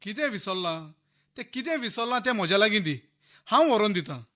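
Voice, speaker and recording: An actor playing a patient, helpful husband and master of the house with a Goan accent in a radio play. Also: male voice, high-pitched, very animated, fairly quick, bright, forceful tone, full character voice. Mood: questioning. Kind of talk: Konkani radio play acting.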